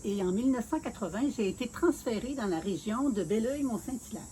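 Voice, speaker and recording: A woman's voice: fairly high pitch, expressive, dark tone, slight background noise. Earnest Québécoise woman in her sixties. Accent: Quebec French. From Quebec City, Canada. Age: 60s. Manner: measured and earnest, with many filler pauses. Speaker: mayoral candidate being interviewed. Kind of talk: casual interview, telling her life story.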